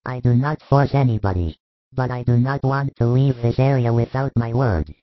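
A man voicing a calm, authoritative religious master who instructs his followers in a measured, commanding way. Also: medium pitch, no echo, lo-fi sound, natural voice. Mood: firm, patient.

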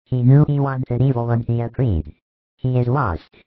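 Man reading a solemn steady teacher, firm and measured, muffled dry recording. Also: medium pitch, no room sound, close to an everyday voice. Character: calm, sorrowful, authoritative male teacher.

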